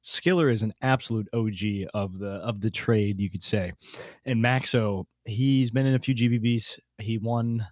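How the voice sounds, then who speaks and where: A man with a lowish voice hosts a casual podcast. His talk is informal and slangy, with a jokey, self-mocking streak, and the sound is close and dry. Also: moderate pace, no room sound. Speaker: podcast host.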